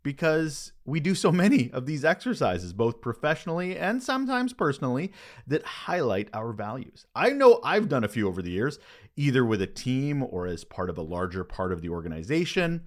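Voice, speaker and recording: Guy hosting a podcast, really animated pitch, talks it through conversationally with punchy emphasis. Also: normal speed. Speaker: podcast host. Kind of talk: scripted podcast monologue, conversational.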